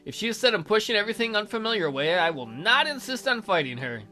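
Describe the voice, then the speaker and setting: A man's voice: high voice, sing-song, fast talker, music in the background. A man reading a visual novel aloud theatrically as a let's play host, with casual, jokey asides.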